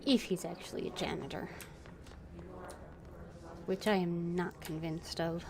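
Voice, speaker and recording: Slow, low-voiced woman streaming games. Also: steady tone, creaky, background noise. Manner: halting, with many fillers and restarts. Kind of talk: casual gaming stream commentary.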